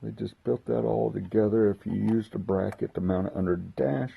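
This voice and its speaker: A man hosting a casual unboxing video, talking haltingly as he thinks aloud while handling gear. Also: fairly low pitch, fairly even intonation, vocal fry, breathy edge, narrow, tinny audio. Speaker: host of a hobby unboxing video. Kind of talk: casual unscripted product walkthrough.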